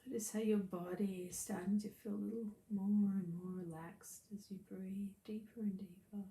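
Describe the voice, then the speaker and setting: A woman leads a guided meditation as a breathing teacher, speaking in a soft, soothing, gently instructive way that turns breathy at times. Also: mid-range voice, fairly even intonation, normal speed. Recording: roomy echo, faint background noise.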